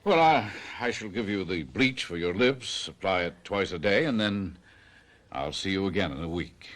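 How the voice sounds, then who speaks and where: Deep-voiced, hesitant courteous doctor. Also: male voice, animated, fairly bright tone. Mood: puzzled, grave. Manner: measured, hesitant, formal. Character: puzzled, courteous male doctor, cautious and hesitant. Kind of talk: radio mystery drama acting.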